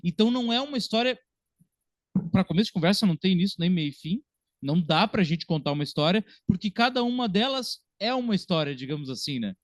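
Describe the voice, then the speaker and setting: A man's voice: high-pitched, animated, fast talker, no room sound. A man with a Brazilian accent chatting casually about film as a podcast co-host, colloquial and emphatic.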